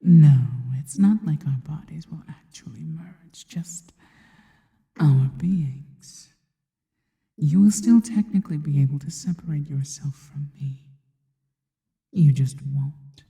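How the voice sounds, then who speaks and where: A seductive spider monster, acted by a woman, whispers with very dark, velvety softness in long reverb. She is possessive and devoted, teasing her listener slowly and intimately in an ASMR roleplay. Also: low-pitched. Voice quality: breathy, mostly whispered. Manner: slow, teasing, intimate delivery.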